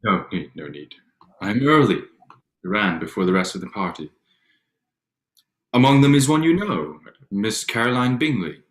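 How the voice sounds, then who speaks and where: A man playing a reserved young gentleman in a period drama reading, stiffly courteous. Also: fairly low pitch, big pitch swings, moderate pace, long pauses. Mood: awkward courtesy.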